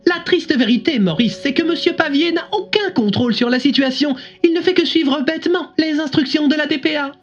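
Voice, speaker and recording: An actress playing a young, critical, outspoken journalist giving a radio interview in an audio drama, with broadcast-style sound. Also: female voice, sits high, brisk, hardly any pauses, muffled recording, close to an everyday voice. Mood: grave, critical.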